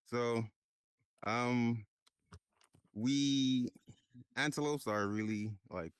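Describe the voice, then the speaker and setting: A man's voice: mid-range voice, drawn-out words, smooth, no echo. A man from Ghana joking around as a guest in a casual chat. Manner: playful, joking.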